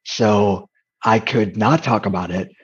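A man with a soft voice, speaking candidly as an interview guest, hesitant with frequent fillers and restarts. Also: fairly low pitch, slow, husky, lo-fi sound. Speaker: interview guest, comedian and dating coach.

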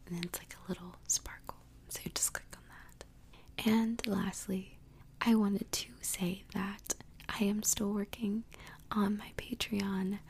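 A woman hosting an ASMR podcast in a soft, breathy whisper, speaking gently and without hurry into a close microphone. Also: medium pitch, a little hiss or hum. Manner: gentle, slow, unhurried delivery. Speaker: podcast host.

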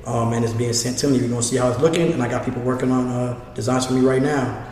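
A man in his twenties hosting a casual podcast, talking in quick slangy street speech. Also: mid-range voice, fairly even intonation, darkish tone, slight background noise. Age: 20s. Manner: slangy, quick, self-promoting street talk. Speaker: podcast host. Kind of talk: casual chat. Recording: echoey hall, mic set far off.

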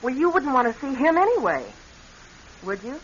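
An actress playing an anxious, caring American younger sister in a radio drama. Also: female voice, sits high, sing-song, moderate pace. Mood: worried. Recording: dull, hissy vintage radio recording.

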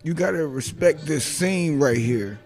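A soft-spoken man talking in a low-key, reminiscing way in a casual podcast chat. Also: animated, measured pace, faint music behind. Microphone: studio mic.

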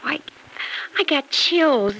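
A woman's voice: sits high, expressive, slow pace, creaky, fairly bright tone, full character voice. An actress playing an earnest, love-struck, girlish young woman in an old-time radio drama, with a breathless, wistful delivery. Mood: dreamy, excited. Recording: noisy old radio recording.

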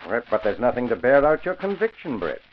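A man's voice: medium pitch, big pitch swings, a little hiss or hum, lightly acted. A man acting as a male police commissioner in a vintage radio play. He is official and placating, with a widely inflected voice and dull old broadcast sound. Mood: hesitant. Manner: measured, formal, placating. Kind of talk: 1940s radio drama acting.

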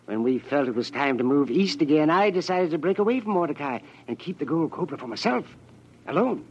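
A muffled vintage radio villain, husky and fairly high in pitch. He sneers in precise, formal phrases, bitter and scheming, with big swings of melody. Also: expressive, brisk. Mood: commanding. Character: scheming, bitter male villain holding a gun. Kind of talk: 1940s radio acting. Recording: dull old radio recording.

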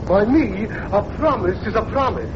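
An actor playing a self-assured foreign talent agent in a 1940s radio comedy, speaking broken English in grand, formal phrases. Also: male voice, sits high, normal speed, natural voice. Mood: smug. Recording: dull old radio broadcast with hiss.

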